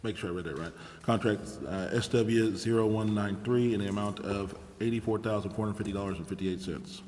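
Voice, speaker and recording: A man's voice: on the low side, brisk, a little mellow. An American man chairing a city council meeting, formally reading agenda items and calling for votes. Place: large indoor council chamber. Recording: echoey council chamber, clicks, background noise.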